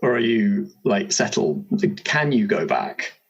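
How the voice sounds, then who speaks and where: A man's voice: on the low side, sing-song, a little slow, breathy edge, muffled recording. A man hosting a tabletop character creation session as game master, guiding it with casual questions and explanations.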